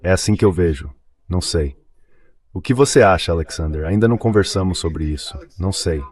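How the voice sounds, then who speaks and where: A man's voice: sits low, lively intonation, fairly quick, darkish tone. A synthetic male voice, dubbing a political commentator in discussion.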